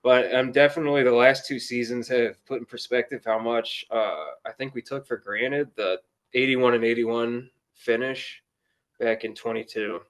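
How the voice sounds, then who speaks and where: A man's voice: medium pitch, fairly even intonation, normal speed. A man of about thirty-one chatting casually as a guest sports fan, with a rueful, self-deprecating humour. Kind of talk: casual chat.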